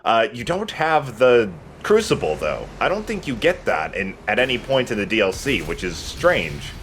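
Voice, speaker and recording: A man's voice: mid-range voice, big pitch swings, moderate pace, a bit bright, a little hiss or hum. A man giving casual, joking and sometimes profane game commentary as the host of a let's play.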